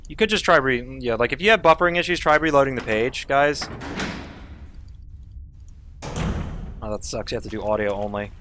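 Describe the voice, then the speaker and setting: Man, casual speedrun streamer, talkative, mid-pitched voice, noisy game audio behind. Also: normal speed, pauses often. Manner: casual, talkative, swears when frustrated. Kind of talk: live gameplay commentary, chatting to viewers.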